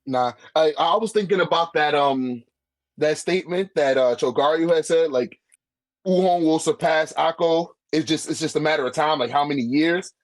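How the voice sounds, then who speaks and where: High pitched dude arguing casually, lots of slang and cussing, real animated. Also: male voice, moderate pace. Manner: animated, slangy, heavy swearing. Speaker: guest debating a manga tier list. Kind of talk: casual chat.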